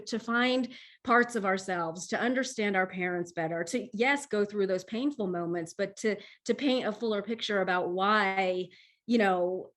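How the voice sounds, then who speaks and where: A woman's voice: mid-range voice, a bit bright. A woman author on a panel, talking earnestly and often restarting her sentences. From Vietnamese-American. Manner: earnest, self-interrupting, restarts. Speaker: author guest. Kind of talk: panel discussion.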